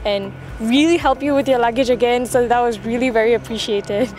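A woman's voice: on the high side, a little fast, a little mellow. Chatty young woman over music. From Malaysia. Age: young adult. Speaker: international student. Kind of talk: casual testimonial. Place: outdoor campus courtyard.

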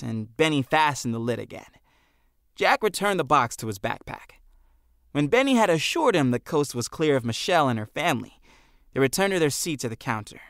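A man's voice: mid-range voice, fairly bright tone, no room sound. A man narrating a story expressively as an audiobook reader, close on a studio microphone.

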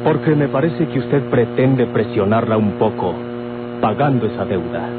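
An actor playing a respectful, cautious young Mexican helper in a golden-age radio drama. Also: male voice, fairly low pitch, moderate pace, natural voice. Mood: probing. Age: young adult. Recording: old narrowband radio recording, loud hum.

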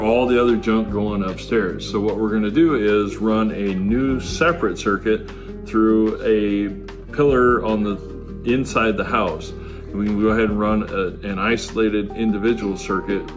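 A middle-aged man casually explaining house wiring work, practical and self-joking. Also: on the low side. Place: home interior.

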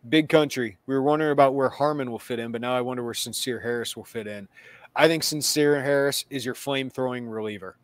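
A man's voice: medium pitch, fast talker, no room sound. A man in his thirties hosting a sports podcast, reading out viewer questions in a chatty, joking way. He talks from a small room at home over a video call, wearing earbuds. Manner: chatty and joking, laughs between lines. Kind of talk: casual sports talk. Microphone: earbuds with a webcam on a video call.

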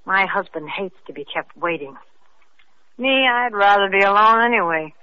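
An actress playing a tipsy, bitter young wife in an old radio drama, with a slangy, sardonic, rambling way of talking. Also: female voice, medium pitch, lively intonation, normal speed, close to an everyday voice. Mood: sullen. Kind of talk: old-time radio acting. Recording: muffled old radio recording.

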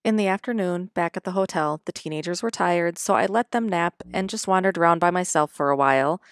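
A woman's voice: fairly low pitch, fairly quick, dry recording, clean studio sound. A middle-aged woman reading a matter-of-fact voice-over about her weekend. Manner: even, matter-of-fact storytelling. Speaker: vlogger narrating her weekend. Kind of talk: scripted voice-over narration.

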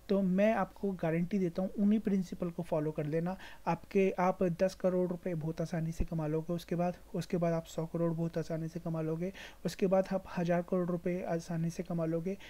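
Indian lady doing a casual explainer, soft mellow low voice, repeats stuff for emphasis, drops in english words. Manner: emphatic, repetitive, advising; mixes English words into speech. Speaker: stock market video host. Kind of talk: informal explainer over screen share.

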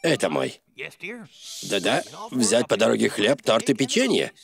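A man voicing a busy, helpful older schoolman with a gravelly voice in a cartoon dub. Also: lowish voice, very animated, measured pace, a bit bright, soft background music, full character voice. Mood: busy, concerned.

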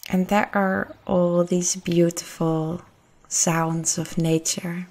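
A woman narrating a guided meditation in a gentle, soothing, unhurried way with long pauses. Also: fairly low pitch, little pitch movement.

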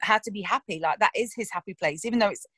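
A woman's voice: medium pitch, fast, bright, forceful tone, slightly rough, no echo. A woman, an actress talking frankly and animatedly in a casual interview, swearing freely and laughing often. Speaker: actress discussing her roles.

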